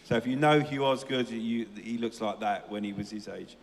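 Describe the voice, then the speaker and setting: A man's voice: on the low side, moderate pace. Chatty man hosting in a church. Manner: chatty, loud, rambling. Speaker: church leader. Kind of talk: casual church interview hosting. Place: church hall. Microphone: handheld microphone over PA. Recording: hall reverb from church PA.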